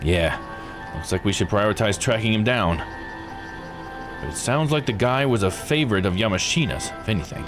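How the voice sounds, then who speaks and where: A gruff police detective from a visual novel, acted out by a man, speaks low and casually. He grumbles like someone tired of strange cases, over clearly audible music. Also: expressive, moderate pace, lightly acted. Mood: businesslike. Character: gruff, world-weary male police detective. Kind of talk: voicing visual novel dialogue.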